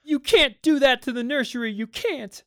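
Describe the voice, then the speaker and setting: A man's voice: very high-pitched, normal speed, no room sound, studio quality, pitched above the performer's own voice. A man voicing hysterical, spoiled young children in a story reading, whiny and wailing. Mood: hysterical, angry. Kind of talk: dramatic storybook narration.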